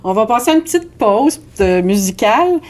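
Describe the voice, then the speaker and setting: A woman with a Québécois French accent hosts a relaxed radio chat, her voice sitting a little high. She talks in a chatty, playful way and jumps in with questions and asides. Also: normal speed. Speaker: radio show host. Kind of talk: casual radio interview.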